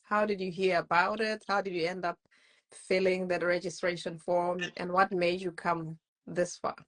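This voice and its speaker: A woman's voice: fairly low pitch, level tone, moderate pace, a bit bright. A woman co-hosting a live online interview, polite and encouraging as she asks her questions. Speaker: co-host interviewer.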